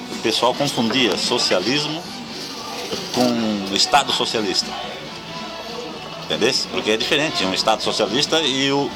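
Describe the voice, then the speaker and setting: A man's voice: mid-range voice, poor audio. A man with a southern Brazilian accent chatting casually about politics, often checking that he is understood. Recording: very loud music, outdoor vehicle noise.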